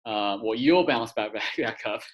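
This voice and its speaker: A man hosting a casual interview, easygoing and quick to laugh, asking long rambling questions. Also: fairly low pitch, noisy background. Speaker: host, acupuncturist.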